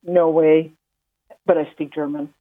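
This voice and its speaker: A woman's voice: low voice, little pitch movement, measured pace, dry recording. A woman from the Netherlands, a walking pilgrim, talking in a phone interview with many fillers and restarts. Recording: tinny phone line.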